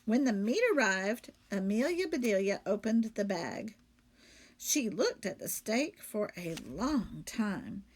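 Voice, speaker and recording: A woman teacher reading a children's story aloud, pausing to question and explain to young listeners. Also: mid-range voice, big pitch swings.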